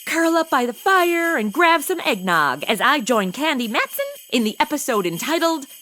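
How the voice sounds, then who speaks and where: A young woman playing a snarky, excitable modern gal in a radio comedy, gushing and sassy. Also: sits high, moderate pace, faint music behind, exaggerated voice. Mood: gushing, excited. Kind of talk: comic narration and banter in modern slang.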